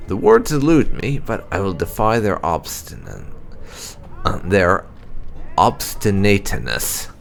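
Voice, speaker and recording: A man's voice: deep voice, sing-song, slow, creaky, a little hiss or hum, heavily acted. A man voicing a vain, obsessive enchanter scholar from a fantasy game, theatrical and boastful. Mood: obsessed ambition.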